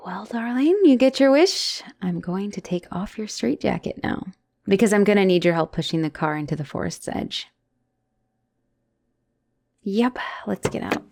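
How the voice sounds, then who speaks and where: A young woman playing an obsessive, clingy girlfriend on the run from an asylum, in an intimate one-sided audio roleplay with sighs and soft, teasing turns. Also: mid-range voice, lively intonation, measured pace, pauses often, no echo, studio quality. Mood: resigned, gentle. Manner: breathy sighs, teasing, drops into soft whispers.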